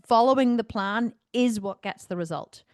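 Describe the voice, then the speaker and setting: A woman's voice: fairly high pitch, moderate pace, darkish tone, no echo, clean studio sound. A woman working as a fitness coach, talking bluntly and insistently on a video call, repeating phrases for stress. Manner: blunt, no-nonsense.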